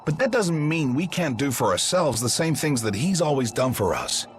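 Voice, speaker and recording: An actor playing an excitable, hard-pressed market merchant in a video game. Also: male voice, on the high side, moderate pace, slight background noise. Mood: resolute. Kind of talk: English video game voice acting. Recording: light music behind the voice.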